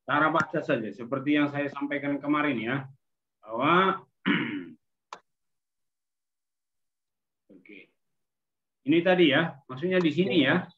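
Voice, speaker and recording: Male Indonesian language teacher, slightly high voice, explanatory lecture with check-ins, tinny phone-like call audio. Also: slow, pauses often. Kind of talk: online class lecture with questions.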